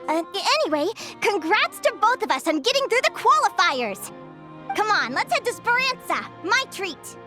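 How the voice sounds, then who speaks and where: A woman voicing a sweet, earnest young winged fae adventurer in an anime game, speaking with gentle expressiveness. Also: extremely high pitch, very animated, moderate pace, over music. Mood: thoughtful, then cheerful.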